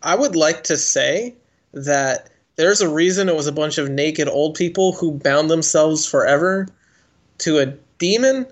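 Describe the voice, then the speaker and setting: A man's voice: on the high side, expressive, a little slow, highs cut off. A man co-hosting a film podcast in casual, joking conversation. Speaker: podcast co-host.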